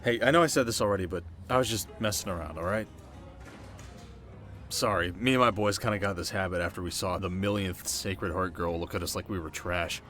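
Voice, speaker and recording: A man playing a cocky but soft-hearted teenage delinquent boy in an ASMR roleplay, talking straight to the listener in casual slang. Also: sits low, brisk. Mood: sheepish, sincere. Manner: slangy, casual, stumbling when flustered.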